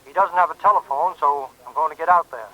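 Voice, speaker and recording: An actor playing an earnest small-town sheriff, reporting in a businesslike way through a telephone effect in a radio drama. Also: male voice, fairly high pitch, fast. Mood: urgent, businesslike. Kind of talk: 1950s radio crime drama acting. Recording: dull lo-fi telephone-style radio sound.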